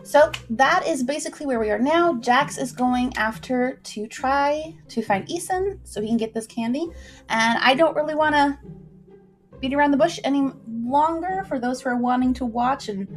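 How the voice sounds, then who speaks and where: A woman hosting a casual livestream, talking informally in a chatty, folksy way as she introduces and recaps a story. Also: sits high.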